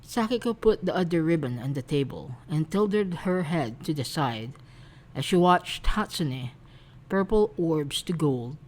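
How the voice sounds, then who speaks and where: Brief: female narrator for romantic fan fiction, low register, soft storytelling read. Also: expressive, measured pace, low-quality recording.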